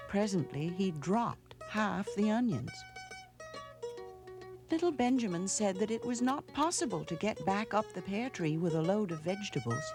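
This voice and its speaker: A woman narrating a children's storybook aloud in a measured, dramatic way, with hushed pauses. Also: mid-range voice. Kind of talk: expressive storybook read-aloud.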